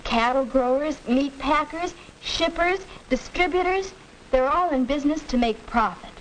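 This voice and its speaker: Woman playing an earnest high-pitched American schoolgirl. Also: normal speed, natural voice, poor audio. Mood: insistent. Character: earnest, argumentative high school girl debating economics. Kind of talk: 1940s educational film acting. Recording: hissy 1940s film soundtrack.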